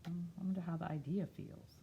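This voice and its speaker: A woman reading a picture book aloud expressively, slipping into quieter, musing, conversational asides. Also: lowish voice, steady tone, fairly quick, creaky, lo-fi sound, slight background noise. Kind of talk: expressive read-aloud with soft, chatty asides.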